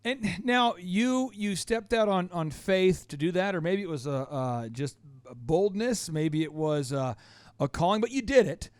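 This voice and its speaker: A man's voice: sits high, expressive, a little slow, no echo. A man hosting a business talk show, joking and self-deprecating as he interviews a guest, in a dry studio recording. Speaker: podcast host. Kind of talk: energetic show intro and interview.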